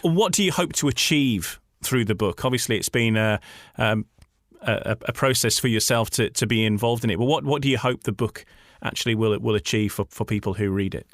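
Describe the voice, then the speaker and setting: A man's voice: on the low side, a little fast, no echo, studio quality. A man hosting a conversational radio interview, asking questions informally with somewhat hesitant phrasing.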